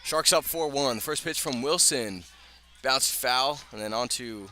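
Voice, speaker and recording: Bright-voiced male baseball radio announcer. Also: mid-range voice, unhurried. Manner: conversational, with casual asides. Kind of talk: live radio sports commentary. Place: outdoor ballpark. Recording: clear ambient outdoor noise.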